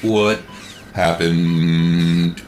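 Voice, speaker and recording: Man playing a very slow, low-voiced robot hero. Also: fairly even intonation, a little mellow, faint music behind. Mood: confused, anxious. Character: rebuilt male cyborg boy hero, grief-stricken and self-blaming. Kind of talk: mock radio serial acting.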